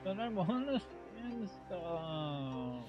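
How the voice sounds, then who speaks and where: A man giving casual let's play commentary, wry and halting as he thinks aloud. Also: sits high, very animated, muffled recording, over music. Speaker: gaming video creator.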